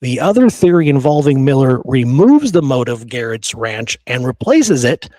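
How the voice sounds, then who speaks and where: A man's voice: medium pitch, sing-song, normal speed, warm tone, no echo. Blunt male podcast host, dry sound. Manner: opinionated, blunt, conversational. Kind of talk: casual history discussion.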